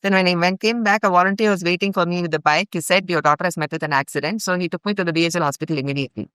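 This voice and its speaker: Middle-aged indian woman telling her story on a podcast, smooth clear voice, dry close sound. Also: on the low side, rapid-fire, fairly bright tone, no echo. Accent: Indian English. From India (grew up in Bhopal). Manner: earnest, recounts events in long chains with fillers. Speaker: guest, longtime ashram volunteer.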